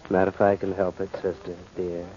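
A man's voice: low voice, little pitch movement, moderate pace, dark tone, lightly acted. A man playing a sly, greedy, sardonic brother in 1940s radio style, dry and insinuating. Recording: muffled old-time radio recording, faint noise.

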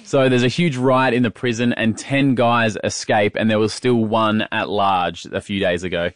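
A man with an Australian accent hosting a podcast in casual, comedic and slightly halting chat. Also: fairly low pitch, steady tone, dry recording, studio quality. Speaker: podcast and radio host.

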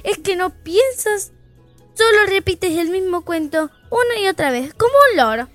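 Rebellious little fairy-tale girl, very high voice, fed up, bossy, sassy, comic audio story, faint music behind. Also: expressive, moderate pace, no room sound. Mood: exasperated. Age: young girl figure. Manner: sassy, impatient, interrupting. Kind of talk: comedic audio fiction acting.

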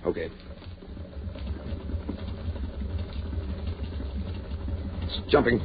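An actor playing a skeptical, uneasy male assistant in 1940s radio horror, hesitant and questioning, with a rough-edged voice. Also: fast talker, slight background noise, close to an everyday voice. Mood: tense, incredulous. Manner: hesitant, questioning, short replies. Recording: muffled 1940s radio recording.

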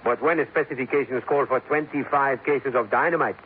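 Actor as formal Spanish-accented police captain, old radio. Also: male voice, mid-range voice, phone-quality sound, natural voice. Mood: firm, controlled. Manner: formal, measured, deliberate. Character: calm, stubborn, principled South American police captain. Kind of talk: 1950s radio drama acting. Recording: noisy, thin 1950s radio recording.

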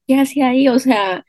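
A woman's voice: high-pitched, darkish tone, no room sound. Bogotá-accented woman, dry close sound. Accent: Bogotá Colombian Spanish. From Bogotá, Colombia. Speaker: podcast guest, Instagram page admin. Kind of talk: casual chat.

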